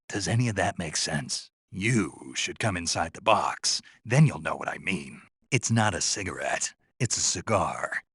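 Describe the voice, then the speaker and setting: An actor playing a gruff, earnest, deadpan American soldier on a covert mission in a video game, with a gravelly, husky voice and terse delivery. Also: male voice, sits low, no room sound, clean studio sound, full character voice. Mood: earnest wonder, then deadpan curiosity. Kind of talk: video game voice acting, radio dialogue.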